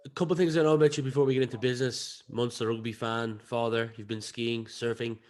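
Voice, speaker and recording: A man's voice: medium pitch, little pitch movement, quick pace, a little mellow. An Irish man hosting a podcast, putting questions to a guest in a conversational interview style. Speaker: podcast host.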